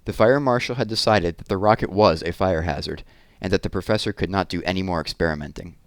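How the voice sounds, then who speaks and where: A man's voice: deep voice, fast, no room sound, clean studio sound. A man reading a children's true story aloud as host and narrator.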